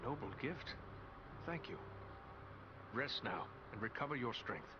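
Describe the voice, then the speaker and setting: Noble, courteous ranger, mid-pitched. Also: male voice, big pitch swings, muffled recording, background noise. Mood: courteous, reassuring. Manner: formal, courteous, measured. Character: noble, courteous ranger warrior, steady and protective. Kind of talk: video game cutscene acting. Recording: loud music behind the voice.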